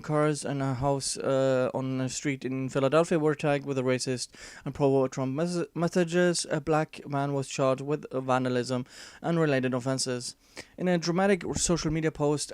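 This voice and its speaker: A man's voice: medium pitch, a little mellow, clean tone, no room sound. A man whose first language is not English, giving casual commentary and reading an article aloud, with many fillers and restarts and dry sarcastic asides.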